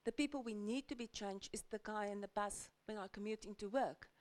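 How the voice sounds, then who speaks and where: A woman's voice: medium pitch, fairly quick, darkish tone. A woman from South Africa, a lawyer on a panel, talking hesitantly with many ums and restarted sentences. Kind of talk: panel discussion. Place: large conference hall.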